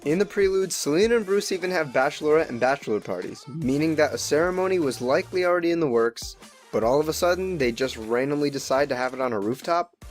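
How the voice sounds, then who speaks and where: A man's voice: a little high, animated, fast talker. A man giving a scripted, opinionated review as a show host, with conversational asides. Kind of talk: scripted narration, review commentary. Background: music.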